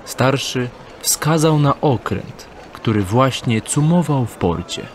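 A man's voice: medium pitch, lively intonation, slow pace, a little hiss or hum. A man reading scripted narration for an audio drama.

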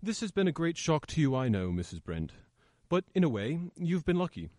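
A man playing a calm, considerate police inspector in an old-time radio play, measured and reassuring as he explains. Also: fairly high pitch, no room sound, studio quality. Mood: reassuring, solemn.

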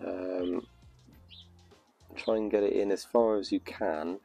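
A man's voice: low-pitched, fairly even intonation, slow, vocal fry, dark tone, faint music behind. A man explaining a car repair step by step from under the car, hesitant and casual. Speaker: vlogger narrating car repair. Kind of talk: casual how-to commentary.

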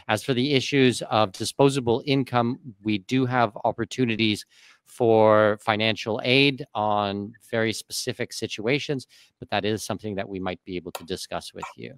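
A man in his thirties leads a live online question session, speaking into a boom-mounted studio mic. His voice sits a little low, and the sound is close and dry. He talks in long, running answers. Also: gravelly, clear, resonant tone, no echo. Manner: reads out viewer questions, answers at length. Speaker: host. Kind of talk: live webinar Q&A. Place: dim home studio. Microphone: studio mic on boom arm.

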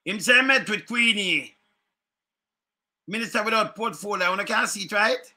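Lively high-voiced Jamaican man. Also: moderate pace. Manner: animated, chuckling, repeats phrases, gestures a lot. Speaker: online commentary host. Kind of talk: casual political commentary in patois. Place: home room, indoors. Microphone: webcam at desk.

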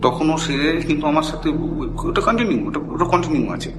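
A middle-aged man tells a personal story in a conversational, halting way, mixing in English phrases. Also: fairly high pitch, animated, noisy background. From India. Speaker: caller telling a personal ghost story. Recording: background music under the voice. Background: loud music.

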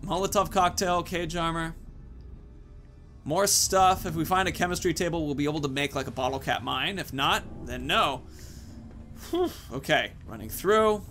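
A man's voice: fairly high pitch, moderate pace, pushed and bright, faint music behind. A man in his thirties giving casual let's-play commentary, rambling informally as he thinks aloud into a headset. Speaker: gaming video host.

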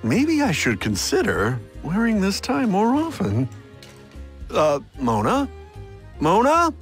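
Flustered, wildly swooping boutique clerk. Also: male voice, high voice, slow, full character voice. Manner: hesitant, easily flattered, theatrical. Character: flustered, kindly male boutique fashion clerk. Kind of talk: animated game cutscene acting. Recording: music under the voice.

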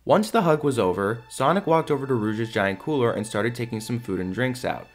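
A man's voice: medium pitch, lively intonation, fairly quick. A man reading scripted third-person narration for a fan audio drama. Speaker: narrator and lead actor. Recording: music under the voice.

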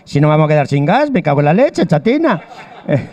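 An older man with a Madrid Spanish accent rants and jokes with blustering energy. His voice runs fairly high for a man, and he speaks into a handheld mic inside a bar. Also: big pitch swings, fairly quick, noisy background. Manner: loud, blustering, joking. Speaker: guest. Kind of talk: comic ranting banter. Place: bar with wine shelves, indoors.

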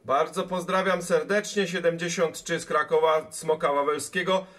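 A man's voice: fairly high pitch, steady tone, moderate pace, fairly bright tone. A man working as a radio operator, making emergency test calls and signal reports from an indoor radio corner. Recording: very noisy room with radio static. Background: radio static.

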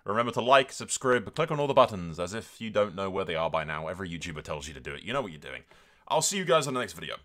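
A man's voice: low-pitched, big pitch swings, rapid-fire, pushed and bright. A man hosting a gaming video, giving casual, informal commentary that retells a roleplay story in a sweary, tongue-in-cheek way. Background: background music.

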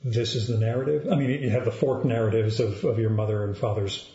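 Mellow male podcast host, roomy sound. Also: lowish voice, steady tone, fairly quick. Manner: probing, meandering questions. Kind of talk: interview questions. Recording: roomy, slightly dull lo-fi sound.

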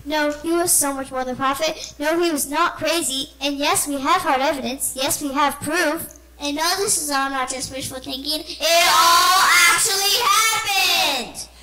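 A woman's voice: high voice, moderate pace, natural voice, rough recording. A woman playing an earnest believer in a church musical, patiently explaining her answers. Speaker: actress in a church children's musical. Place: church stage before an audience.

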